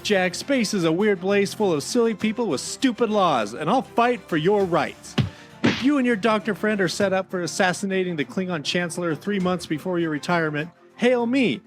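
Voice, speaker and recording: A man playing a cocky, combative Vulcan lawyer making a hard sell in a parody radio ad. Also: high voice. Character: brash sleazy Vulcan lawyer in a commercial.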